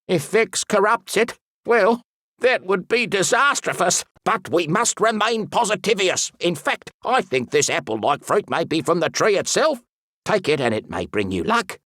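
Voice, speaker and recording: An excitable, fussy wildlife expert from a video game, with a pitch that leaps and swoops through every phrase. He is pompous and theatrical, fond of whimsical made-up words, and recorded very dry and close. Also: unhurried, no room sound, full character voice. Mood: worried, earnest. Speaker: voice actor. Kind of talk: animated video game character voice lines.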